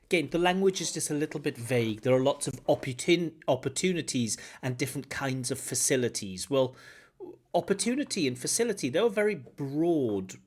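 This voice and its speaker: A man from Wales, an English examiner, giving feedback on a speaking test and explaining points with examples and gentle corrections. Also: medium pitch, expressive, a little fast. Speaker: IELTS examiner and teacher. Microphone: video call.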